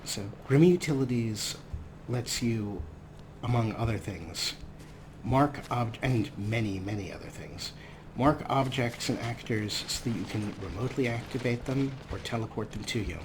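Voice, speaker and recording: A man's voice: medium pitch, normal speed, noisy background. A man hosting a game let's play, commenting in a sarcastic, self-mocking way with casual swearing. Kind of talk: gameplay commentary.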